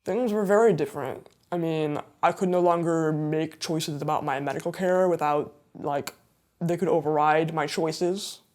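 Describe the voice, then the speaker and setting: A woman's voice: low voice, darkish tone. A woman in her twenties giving candid, emphatic personal testimony in an interview. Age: 20s. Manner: candid, emphatic, conversational fillers.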